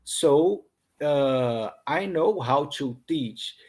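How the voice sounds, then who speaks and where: A man's voice: on the high side, expressive, very slow, a little mellow, breathy edge. A man from Brazil with a Brazilian Portuguese accent, an English learner chatting hesitantly on a video call, full of ums and restarts. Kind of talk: casual online lesson chat.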